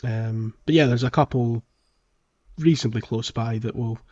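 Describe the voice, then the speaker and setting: A man co-hosting a podcast, casually discussing a video game in a halting, thinking-aloud way. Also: mid-range voice, warm tone. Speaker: podcast co-host. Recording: slightly dull, lo-fi podcast audio.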